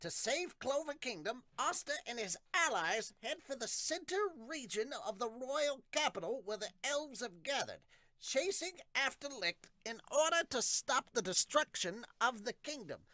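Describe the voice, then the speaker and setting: High-pitched, forceful dramatic narrator played by a man, declamatory manga dub delivery, dry sound. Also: sing-song, moderate pace, no room sound, heavily acted. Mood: grand, dramatic. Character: loud dramatic high-voiced storybook narrator.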